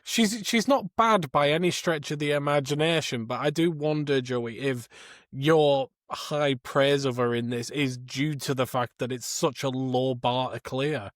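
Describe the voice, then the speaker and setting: Man, podcast co-host, average pitch, wry wordy phrasing, casual discussion, no room echo. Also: unhurried, studio quality. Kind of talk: casual film review chat.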